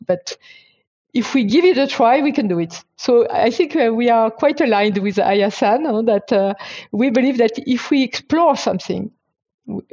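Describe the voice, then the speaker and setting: A woman's voice: medium pitch, darkish tone, muffled recording. A woman from France answering interview questions, hesitant, with many fillers and restarts. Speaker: guest.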